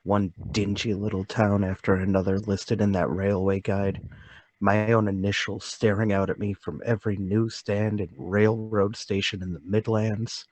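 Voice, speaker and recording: A man's voice: fairly low pitch, flat delivery, normal speed, darkish tone, close to an everyday voice. A man playing a meek, forgetful, troubled former traveling salesman in a radio play, polite, apologetic and sorry for himself. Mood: bitter, troubled. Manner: polite, apologetic, self-pitying. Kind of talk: radio play table read.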